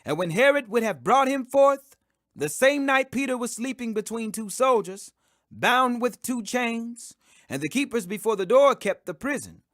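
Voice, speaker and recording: High-pitched, animated man reading scripture. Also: normal speed, no room sound, clean studio sound. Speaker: Bible reader.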